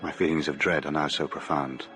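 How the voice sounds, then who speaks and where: An actor playing a tormented, formal gentleman voicing his desperate letter in a radio drama. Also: rumbling low, steady tone, a little fast, music in the background. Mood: haunted resolve.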